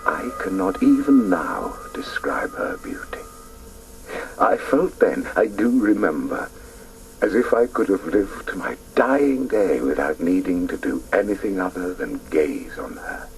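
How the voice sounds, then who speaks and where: A grown man haunted by his childhood, telling it in solemn, hesitant first person. The voice is very deep and creaky. The radio recording is a little distant with faint noise. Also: very animated, a little slow, heavily acted. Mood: awed. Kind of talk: dramatic first-person narration.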